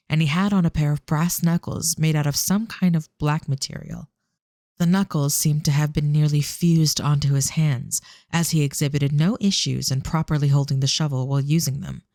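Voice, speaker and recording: A scripted fantasy audio-drama narrator with measured, descriptive delivery. The voice has noticeable vocal fry and is recorded close and very dry. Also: medium pitch, fairly even intonation, darkish tone, dry recording.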